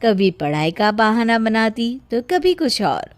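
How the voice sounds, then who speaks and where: A woman narrates a cartoon story in a scripted storytelling style. She takes her time, with an unhurried, measured delivery. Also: fairly high pitch, sing-song.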